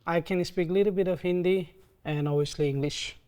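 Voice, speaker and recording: A man in his thirties from Nepal with a Nepali accent, speaking hesitantly with many pauses in an oral history interview. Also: fairly high pitch, fairly even intonation, smooth. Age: 30s. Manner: soft-spoken, hesitant, frequent ums.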